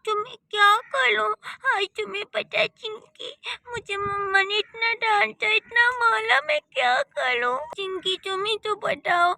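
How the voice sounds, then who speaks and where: Woman putting on a high whiny little boy, tearful, sharp bright tone, dry. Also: a little slow, gravelly, no room sound, full character voice. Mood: whining. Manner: whiny, sobbing complaints.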